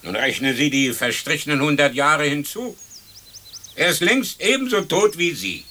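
A man's voice: a little high, lively intonation, moderate pace, pushed and bright, a little hiss or hum. An actor playing a skinny, grumbling long-dead man, dry and querulous, in a radio play. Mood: dryly dismissive. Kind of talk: German radio play acting.

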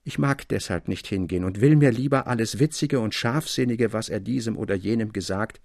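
A man reading the part of a witty, disdainful young gentleman in a literary story, with emphatic, ornate phrasing. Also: lowish voice, a little fast, vocal fry, no room sound, clean studio sound.

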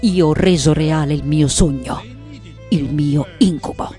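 A woman's voice: sits low, a little slow, faint music behind. An actress playing an elderly, defiant, vengeful woman sculptor. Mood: triumphant, resolute. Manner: intense, theatrical, swinging from hushed to loud. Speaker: actress in a radio play. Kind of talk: dramatic radio theatre monologue.

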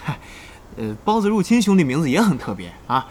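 An actor playing a polite, flattering male gamer in an audio drama. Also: fairly high pitch, brisk, slight background noise. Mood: friendly, flattering.

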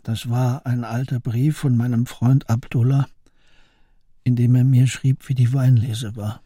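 A man in a radio play playing a kindly, stubborn elderly shopkeeper with a wry, deliberate manner. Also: medium pitch, fairly even intonation, warm tone, husky. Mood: sheepish, subdued. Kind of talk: German radio play acting.

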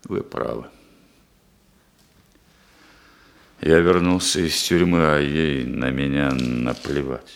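An actor playing a cunning, jealous, embittered murderer in a theatrical Russian radio play, speaking emphatically with menace. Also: male voice, a bass voice, flat delivery, unhurried. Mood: bitter confession. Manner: emphatic, menacing, swinging between outbursts and hushed bitterness. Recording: roomy, echoing studio radio-play recording.

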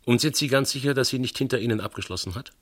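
An actor playing a dry, impatient police inspector who questions methodically, in a radio crime drama. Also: male voice, mid-range voice, racing, fairly bright tone, close to an everyday voice. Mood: probing.

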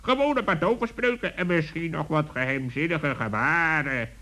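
A man's voice: a little high, normal speed, bright, forceful tone. A man playing a cheeky, impatient forest animal in a children's radio play, with a teasing, mocking manner. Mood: chatty.

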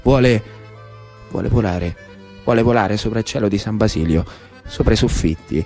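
A man with a Roman Italian accent performing a colloquial theatrical monologue for radio as an animated storyteller. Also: fairly low pitch, moderate pace, faint music behind. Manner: animated, colloquial, shifting between narration and characters. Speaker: actor and storyteller.

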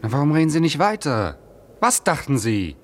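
A man's voice: on the high side, big pitch swings, moderate pace. A male actor in a German radio play, playing a cool, impatient young man with a dry, terse, sardonic manner. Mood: cool, reserved. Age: young adult. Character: cool, curt, impatient young man waiting for his lover.

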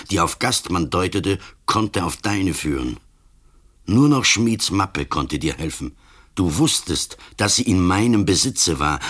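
An old police commissioner in a radio drama, with an extremely deep voice. He speaks slowly and gravely, like a relentless judge passing sentence. He is ailing yet implacable. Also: fairly bright tone, full character voice. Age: older. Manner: slow, grave, accusing, relentless. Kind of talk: radio play acting.

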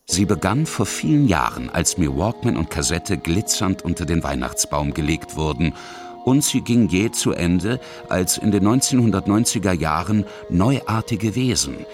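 A middle-aged man with a deep, low voice reads scripted narration for a radio feature. Music plays clearly behind his words.

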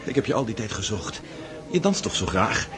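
An actor in a Dutch radio drama playing a friendly, eager gentleman at a ball. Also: lowish voice, very animated, fairly quick. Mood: friendly, urgent. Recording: busy crowd behind the voice.

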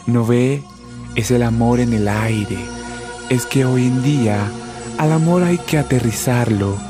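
A man's voice: medium pitch, unhurried, close to an everyday voice. A man playing a mischievous, materialistic Cupid in a radio comedy sketch, with a Colombian accent and a teasing delivery. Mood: smug. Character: mischievous, materialistic male Cupid pushing his love arrows. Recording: music behind the voice.

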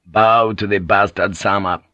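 A swaggering, foul-mouthed sea pilot booms out cheerfully in a pitch pushed well above the reader's own, as a man acts him out. The voice sits dry and close with no room sound. The whole thing comes through a dull, muffled cassette tape. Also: steady tone, a little slow, dry recording. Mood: boisterous. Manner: loud, jovial, profane. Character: boisterous, coarse, swaggering male sea pilot.

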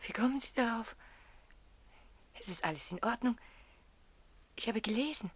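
Casting: reserved, charming woman in her twenties, radio drama; slightly high, husky voice with lively pitch. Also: normal speed. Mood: guarded. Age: 20s. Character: charming, reserved young woman seeking a room. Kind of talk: German radio play dialogue.

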